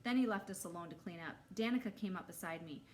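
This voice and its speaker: A woman's voice: lowish voice, quick pace. Woman reading a children's story, faint noise. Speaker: read-aloud storyteller for first graders. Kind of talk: animated children's story narration.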